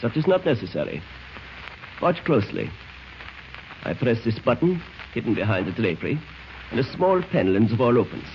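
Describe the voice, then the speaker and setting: Suave, menacing spymaster, swooping delivery. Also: male voice, medium pitch, big pitch swings. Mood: cool, calculating. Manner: courtly, deliberate, formal phrasing. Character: suave, menacing male foreign spy ring leader. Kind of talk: 1940s radio drama acting. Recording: dull, noisy old radio recording.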